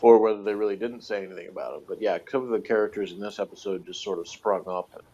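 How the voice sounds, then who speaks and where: A man's voice: lowish voice, fast talker, mellow. A man in casual analytical chat, talking in a hesitant, tentative way as he thinks aloud. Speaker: guest reviewer discussing TV characters. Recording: dull lo-fi voice recording.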